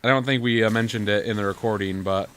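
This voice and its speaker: A man chatting casually and jokily while he plays a video game, rambling with halting starts. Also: lowish voice, steady tone, a bit bright, clear, resonant tone. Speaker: gaming video co-host and player. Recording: noisy home gameplay recording.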